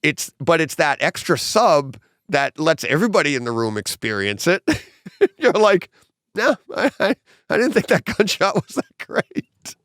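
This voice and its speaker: A middle-aged man hosting a casual home theater podcast with his son. He tells stories animatedly and acts out quotes, and his voice is slightly hoarse. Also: on the high side, very animated, unhurried, fairly bright tone, dry recording, clean studio sound. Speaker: podcast host.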